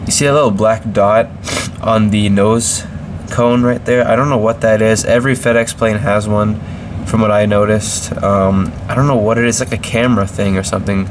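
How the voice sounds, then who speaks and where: Low, flat-voiced man with a cold. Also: normal speed, background noise. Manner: hesitant, many ums and restarts. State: slightly congested from a cold. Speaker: model aircraft reviewer. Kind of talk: casual product review.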